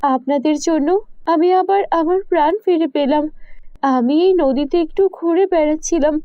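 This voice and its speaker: Woman voicing a high-pitched gentle serpent lady. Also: moderate pace, no room sound, highs cut off, lightly acted. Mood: grateful. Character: grateful gentle female serpent, a nagin. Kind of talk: cartoon voice acting.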